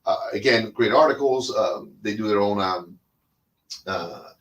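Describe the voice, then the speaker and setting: A middle-aged Cuban-born man with a fairly low voice talks about cigars in an easygoing, rambling way. He speaks Spanish as his first language and fills his talk with "uh" and "you know" while he puffs on a cigar. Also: unhurried, highs cut off. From Cuba. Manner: rambling and chatty, with many fillers and restarts; smoking a cigar while talking. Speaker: cigar podcast host. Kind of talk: casual monologue.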